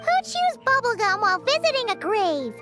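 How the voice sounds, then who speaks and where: Squeaky, very high little fairy girl. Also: big pitch swings, moderate pace, over loud music. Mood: curious, puzzled. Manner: squeaky, speaks of herself in third person. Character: tiny childlike fairy girl companion, curious and blunt. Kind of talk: video game character acting.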